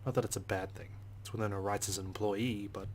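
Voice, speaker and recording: A man's voice: fairly low pitch, flat delivery, quick pace, slight background noise. A man reading a visual novel aloud in the first person with a dry, sardonic delivery. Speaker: let's play narrator.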